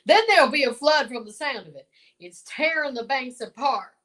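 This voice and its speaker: A folksy old small-town lawman in a radio play , acted by a woman. The voice is high for the part and very animated, full of hems and rustic exclamations. He sounds dutiful and a little flustered. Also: normal speed. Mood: urgent. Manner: folksy, drawling exclamations, hemming. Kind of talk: old-time radio drama acting.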